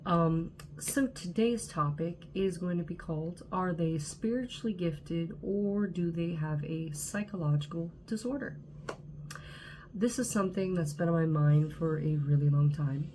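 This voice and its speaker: A woman's voice: on the low side, measured pace, a little mellow, slight background noise, poor audio. A woman in her twenties, from Southern California, congested with a cold, talking casually to camera with frequent check-ins. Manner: chatty, frequent "okay?" check-ins and fillers. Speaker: channel host.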